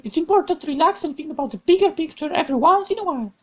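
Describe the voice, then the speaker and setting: A woman's voice: very high-pitched, animated, very fast, voice pushed up. A woman doing a bubbly cheerful young woman. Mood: gentle. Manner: bright, playful, exclamatory, raised voice.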